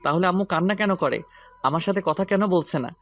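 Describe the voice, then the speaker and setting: A man's voice: high-pitched, fairly quick, a bit bright. A man plays an impulsive young man in an audio story. He pleads and challenges with stubborn insistence, fiercely protective, over background music. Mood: angry, demanding. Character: hot-headed young man, protective son and fiance.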